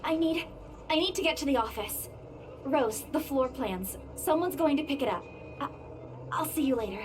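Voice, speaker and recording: A woman's voice: high voice, animated, normal speed, background noise. An actress playing an anxious, haunted young woman in a horror visual novel game. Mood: flustered. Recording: music behind the voice.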